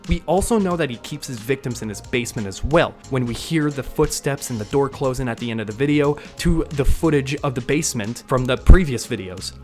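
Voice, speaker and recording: A man hosting an online video, giving scripted breakdown narration with casual touches. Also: mid-range voice. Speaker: YouTube host and narrator. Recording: voice-over with music underneath. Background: music.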